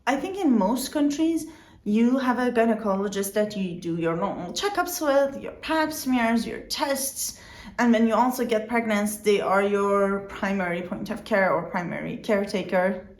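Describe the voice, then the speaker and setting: A woman's voice: medium pitch, expressive, moderate pace. Woman with a non-native English accent, roomy sound. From outside the Netherlands. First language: not English. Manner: conversational, with fillers and self-corrections. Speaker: vlogger. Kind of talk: informal explainer vlog.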